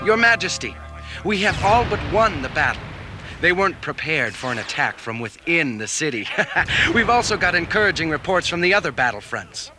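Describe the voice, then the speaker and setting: Sci-fi army aide, confident n respectful, lively voice, music behind, old radio play. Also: male voice, on the high side, moderate pace. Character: confident military aide reporting to royalty. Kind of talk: 1970s science fiction audio drama acting. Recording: 1970s audio drama with music bed.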